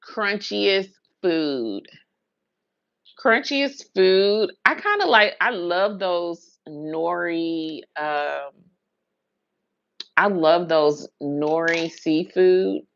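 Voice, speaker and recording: A woman's voice: fairly low pitch, animated, drawn-out words, creaky, a bit bright, dry recording, dull audio. An American woman chatting casually on a livestream, telling rambling personal stories in a colloquial way and repeating words for emphasis. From Huntsville, Alabama and Brooklyn, New York, USA. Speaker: livestream host, hairstylist.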